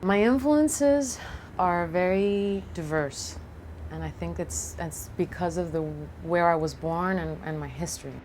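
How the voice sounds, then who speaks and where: A woman from Venezuela speaking reflectively in an interview as a singer-songwriter, thoughtful and halting, often pausing mid-phrase. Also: lowish voice, a little mellow, a little hiss or hum.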